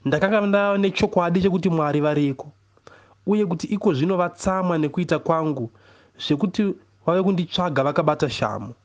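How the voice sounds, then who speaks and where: A man with a Zimbabwean accent narrates literary prose. His voice sits somewhat higher than most men's. The delivery is that of a poet reading a book aloud. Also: fast talker, highs cut off. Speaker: audiobook reader, poet.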